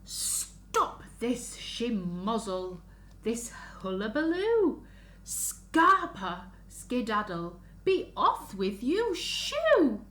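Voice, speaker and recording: A woman voicing indignant storybook grown-ups scolding a runaway dog in an emphatic, drawn-out chant. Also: on the high side, huge pitch swings, fairly bright tone, voice pushed up, full character voice. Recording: roomy echo, faint background noise.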